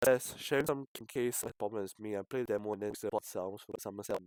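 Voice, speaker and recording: A man's voice: fairly low pitch, fast, a little mellow, no echo. A man commentating casually on a game livestream, swearing often and grumbling in exasperation. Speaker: streamer.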